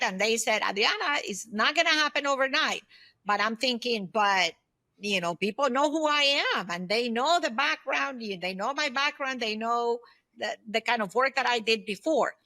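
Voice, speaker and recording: A woman in her fifties speaks as a guest in a conversational interview. Her voice sits fairly high and has a clearly bright, forward edge. She makes her points emphatically, often posing questions to herself as she explains her experience. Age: 50s. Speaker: guest, solopreneur consultant and former aerospace executive. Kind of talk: conversational interview, sharing personal experience and advice.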